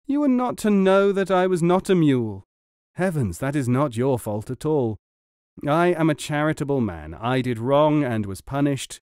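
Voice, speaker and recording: A man's voice: fairly high pitch, lively intonation, normal speed, a little mellow, clear, resonant tone, no echo, clean studio sound, pitched above the performer's own voice, close to an everyday voice. A man voicing a sly young noble student in a storybook, speaking with earnest, pious politeness. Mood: kindly, pious. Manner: earnest, pleading, formally polite. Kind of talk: storybook character reading.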